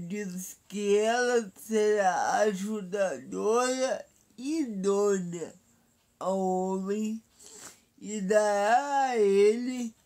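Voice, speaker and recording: A man preaching a Bible sermon. He has a cold, so his voice is nasal and stuffy, and he speaks in a deliberate way, pausing between phrases. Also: sits high, mellow. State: has a cold, blocked nose.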